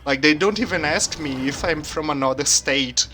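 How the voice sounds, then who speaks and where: A soft-spoken man from Brazil, a native Portuguese speaker, chatting calmly while streaming a game. His accent is hard to place and often taken for German. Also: on the high side, moderate pace, a little hiss or hum. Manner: soft-spoken, quiet and calm. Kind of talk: casual live-stream chat while gaming. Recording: game music playing behind.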